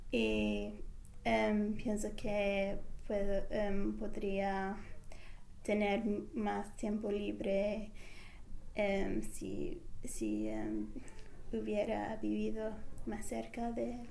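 A woman whose first language is English, answering interview questions slowly with many pauses and fillers as she searches for words. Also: mid-range voice, vocal fry, mellow, a little hiss or hum. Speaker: interviewee, language learner back from a year abroad. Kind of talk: halting, hesitant answers.

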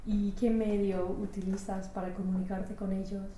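A woman's voice: mid-range voice, little pitch movement, moderate pace, warm tone. A woman interviewer asking short questions and giving brief acknowledgments. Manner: brief prompts and backchannels. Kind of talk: research interview. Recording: echoey room, faint hiss.

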